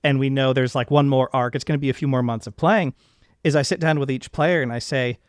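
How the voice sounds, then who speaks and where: Earnest man hosting, mid-pitched, dry sound. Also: fairly even intonation, a little fast, no room sound. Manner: earnest, effusive, wordy. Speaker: podcast host, interviewer. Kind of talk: casual, reflective interview conversation.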